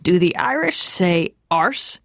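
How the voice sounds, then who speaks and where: A woman's voice: on the low side, very animated, slow, fairly bright tone, dry recording, full character voice. A woman voicing a boisterous young man, a teasing buddy, in an audiobook.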